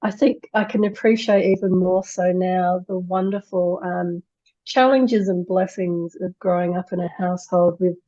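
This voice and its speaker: Effusive woman host, mellow dark tone, dull lo-fi recording, gushing praise with restarts. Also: fairly low pitch, fairly even intonation, moderate pace. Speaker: show host. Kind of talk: upbeat interview hosting. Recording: dull lo-fi sound.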